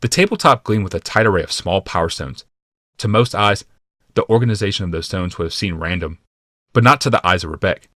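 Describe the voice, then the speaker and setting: A man reading scripted fantasy narration as an audiobook narrator, in a deliberate, dramatic way. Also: sits low, sharp tone, dry recording, studio quality.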